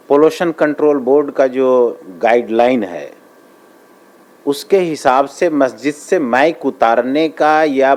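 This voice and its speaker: A man speaks slowly in a mid-pitched voice. He gives an unscripted statement, as an interviewee would in a news report. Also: a little mellow. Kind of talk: spontaneous spoken statement.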